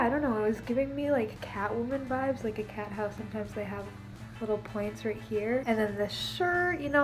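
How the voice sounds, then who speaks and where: A young woman with a congested, snuffly nose talks casually to her camera from her living room, rambling and filling pauses with "um" and "like." Her voice sits at an ordinary middle pitch. Soft music plays quietly underneath. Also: low-quality recording. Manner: chatty and rambling, many fillers like "um" and "like". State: stuffed-up, snuffly nose from allergies. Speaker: beauty and costume vlogger.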